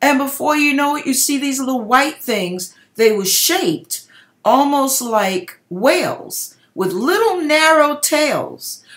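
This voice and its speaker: The sound is roomy, with the echo of a home kitchen. A middle-aged woman speaks slowly and theatrically, like a storyteller. Her fairly high voice leans hard on key words. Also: animated, a bit bright. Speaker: online video host. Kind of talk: dramatic reading of a dream, with commentary. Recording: echoey kitchen room sound.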